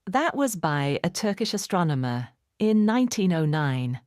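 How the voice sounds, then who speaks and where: Woman, low pitch, animated intonation, measured storybook narration, dry recording. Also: no room sound. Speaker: audiobook narrator. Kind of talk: expressive bedtime storybook narration.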